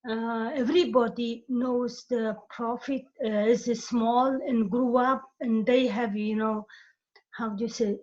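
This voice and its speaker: A woman's voice: fairly high pitch, steady tone, unhurried, darkish tone. Woman searching for words on a dull call line. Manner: searching for words, many fillers. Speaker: study group participant. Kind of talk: group discussion on a video call.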